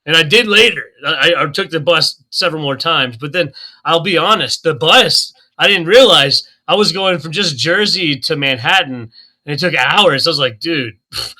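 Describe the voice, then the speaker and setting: A man from Oklahoma talks on a casual group call. His voice is very bright and sits a little high. He tells stories with energy, laughs often and acts out what people said. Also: moderate pace, low-quality recording. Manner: animated storyteller, laughs often, acts out quotes. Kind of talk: casual chat.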